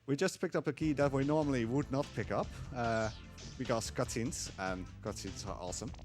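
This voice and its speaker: A man giving casual live speedrun commentary, explaining haltingly with dry humour. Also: medium pitch, over music. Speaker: speedrunner at a gaming marathon.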